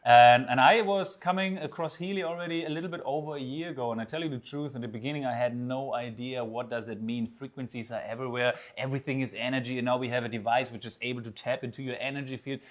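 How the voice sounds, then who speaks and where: A man's voice: medium pitch, fairly even intonation, quick pace, few pauses. A man from Germany with a German accent, co-hosting a promotional webinar in an enthusiastic, persuasive way. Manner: enthusiastic, persuasive, non-native phrasing. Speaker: webinar co-host.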